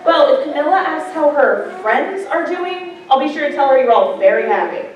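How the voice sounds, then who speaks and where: A woman's voice: high voice, animated, normal speed, highs cut off. A woman playing a principled, sarcastic schoolboy who stands up for a friend in a staged musical reading. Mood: sarcastic, reproachful. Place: live staged reading in a hall. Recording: far-off echoey room, faint noise.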